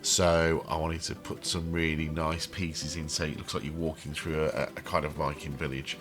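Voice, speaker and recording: A man's voice: very deep voice, level tone, normal speed, fairly bright tone. A man with a cold and a throaty voice, rambling with many ums as he comments casually over his game building. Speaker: channel host building a theme park in a game.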